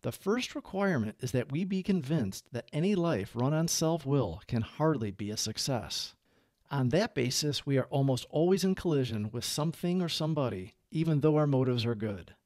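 A man narrating a book aloud as a reader, with measured, clearly phrased delivery. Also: mid-range voice, animated, a little mellow, no room sound, studio quality. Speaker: audiobook reader.

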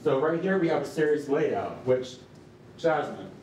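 A young man giving an informal, animated live presentation in a conversational way, as a project presenter. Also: on the high side, a little slow. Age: young adult. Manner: conversational, animated, frequent "like" and "you know". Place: concrete-walled rehearsal hall before an audience. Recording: roomy, distant mic, faint noise.

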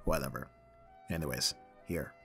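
A man's voice: very deep voice, steady tone, moderate pace, slightly rough, faint music behind, full character voice. A man playing a caring but easily exasperated young roommate in an ASMR roleplay audio drama, casual and dryly bantering.

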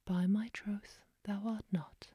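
A woman softly whispering the lines of a magical talking trout who lives in a well. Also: medium pitch, steady tone, slow, slightly rough, dry recording, studio quality. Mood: solemn. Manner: whispered, solemn and knowing.

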